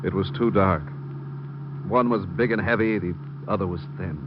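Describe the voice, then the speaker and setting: A man's voice: deep voice, moderate pace, close to an everyday voice. An actor playing a distraught, emotional man caught up in a crime, in old radio drama style. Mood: shaken. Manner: agitated, emotional, melodramatic. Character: overwrought, distraught male fiancé of a murdered woman's daughter. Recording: muffled, noisy old radio recording.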